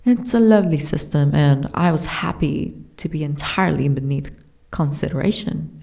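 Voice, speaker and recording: Casting: woman to play young giantess princess for fantasy ASMR. Genteel, frail, wistful; low-pitched, unhurried, softly delivered. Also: gravelly. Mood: genteel, gently amused. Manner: formal, archaic, genteel phrasing.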